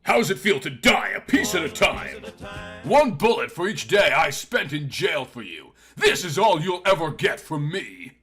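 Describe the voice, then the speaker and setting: A bitter young Southern heiress, acted by a male narrator spits out sardonic lines in a forceful, raised voice. Her delivery swings widely, cold and vengeful, in a melodramatic story. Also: a little high, big pitch swings, unhurried, breathy edge, faint music behind, exaggerated voice. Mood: furious.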